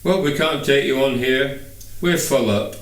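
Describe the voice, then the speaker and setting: A man's voice: medium pitch, unhurried, lightly acted. A man voicing a brusque, impatient older knight who runs a flying circus, in an audiobook. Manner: brusque, questioning, dismissive. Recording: echoey room, distant microphone.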